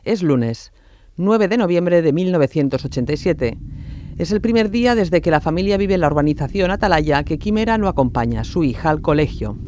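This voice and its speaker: A man's voice: a little high, lively intonation, brisk, darkish tone, a little hiss or hum. A man narrating a scripted true-crime documentary.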